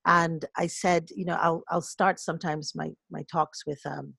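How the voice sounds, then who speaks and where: A middle-aged woman, a coach and podcast guest, telling stories in an animated way and acting out other people's voices. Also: low voice, normal speed, creaky, breathy edge, no room sound, clean studio sound. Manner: animated storyteller, acts out voices. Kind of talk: conversational podcast storytelling.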